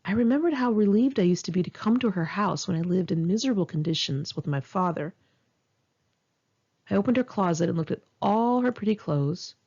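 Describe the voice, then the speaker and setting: Female author narrating her own memoir audiobook; conversational, animated intonation, slightly lo-fi sound. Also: mid-range voice, fairly quick, husky. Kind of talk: first-person memoir narration, audiobook read in one take.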